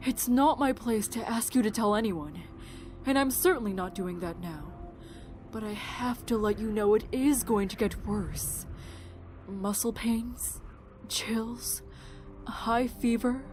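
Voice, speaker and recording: A woman acting a caring, higher-voiced camp doctor. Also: darkish tone, natural voice. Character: caring, frank female camp doctor and science nerd. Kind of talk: audio drama acting.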